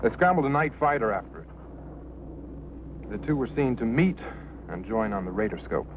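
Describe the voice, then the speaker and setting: An American actor playing an earnest pilot who takes mysteries seriously, in vintage film drama style. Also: male voice, lowish voice, big pitch swings, normal speed. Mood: earnest, insistent. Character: earnest male pilot open to UFO mysteries. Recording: muffled, noisy old film soundtrack.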